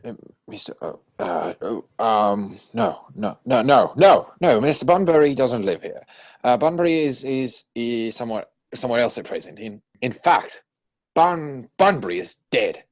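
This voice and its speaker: A young gentleman, played by a man, speaks in a medium-pitched voice with a hesitant stammer. He is flustered and evasive, the nervous nephew of a period comedy reading. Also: sing-song, moderate pace.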